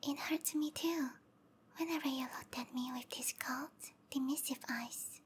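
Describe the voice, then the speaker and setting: A woman voicing a sweet, obsessive snake-girl lover in a breathy, cooing whisper for an intimate ASMR roleplay. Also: high-pitched, steady tone, measured pace, slight background noise. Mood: tender, possessive. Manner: cooing, tender, possessive.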